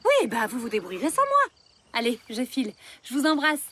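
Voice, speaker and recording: Busy cartoon vet mother, brisk, highish voice, animated, noisy animal sounds behind. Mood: hurried.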